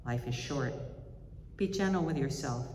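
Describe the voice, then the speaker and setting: A woman giving a reflection at a service, reading spiritual writing aloud in a measured, reflective way. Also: rumbling low, darkish tone. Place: large indoor chapel or hall. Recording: echoey hall with reverb, faint background noise.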